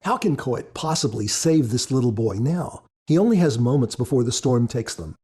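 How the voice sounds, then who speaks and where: Man, average pitch, soft dark tone, husky, earnest preacherly podcast narration, explanatory. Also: lively intonation, normal speed. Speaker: author and co-host. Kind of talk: scripted podcast commentary and narration.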